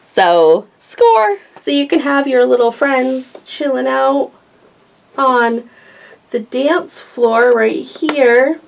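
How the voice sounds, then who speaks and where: A woman's voice: sits high, slow pace, gravelly, a little hiss or hum. A woman hosting a casual toy review, talking through it in an enthusiastic, gushing manner. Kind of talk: casual unboxing and demonstration chat.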